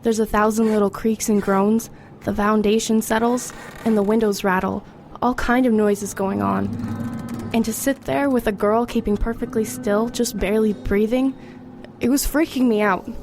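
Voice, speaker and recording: A woman's voice: a little high, fairly even intonation, moderate pace, lightly acted. A woman playing an anxious, defiant teenage girl in an audio horror drama. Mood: uneasy narrating. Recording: background noise and music under voice.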